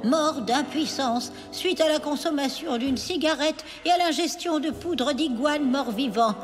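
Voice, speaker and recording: A woman's voice: high-pitched, music in the background. A woman voicing a mock-solemn child who intones a funeral eulogy in a French cartoon. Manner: ceremonial, drawn-out intoning. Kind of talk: French animated cartoon dialogue.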